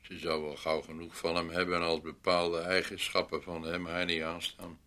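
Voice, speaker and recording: A man's voice: deep voice, flat delivery, moderate pace, bright, forceful tone. An actor in a radio play playing a dry, sober, matter-of-fact man. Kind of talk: Dutch radio play acting.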